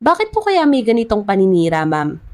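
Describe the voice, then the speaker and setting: A woman's voice: medium pitch, huge pitch swings, fairly quick, darkish tone. Narrator lady doing a filipino reporter, polite but pushy questions, drama story. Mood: pressing. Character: probing news reporter at a press conference.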